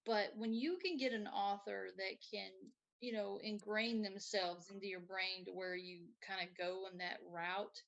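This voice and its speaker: A woman's voice: medium pitch, fairly even intonation, measured pace, vocal fry, few pauses, a bit bright, lo-fi sound. A woman co-hosting a casual interview and relaying audience comments in a conversational way. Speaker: co-host reading audience comments.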